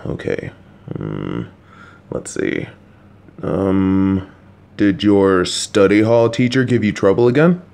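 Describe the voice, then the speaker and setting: A man's voice: low-pitched, creaky, a little mellow, exaggerated voice. A man voicing a patient, slightly clueless, supportive middle-aged father who coaxes and reassures his child, with jokey asides. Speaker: voice actor in an audio roleplay. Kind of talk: listener-directed audio roleplay.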